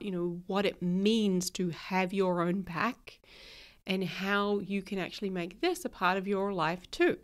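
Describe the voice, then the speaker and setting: A woman's voice: mid-range voice, smooth. A woman with a New Zealand accent hosting a podcast, still a little nasal from a cold. She talks frankly and casually, swears now and then and laughs often. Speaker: podcast host.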